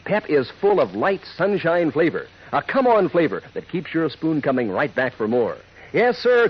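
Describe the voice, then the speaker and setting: A man delivering a chummy, folksy sales pitch to kids as a 1940s radio announcer. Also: breathy edge. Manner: chummy, folksy salesman patter addressed to children. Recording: dull old radio broadcast recording.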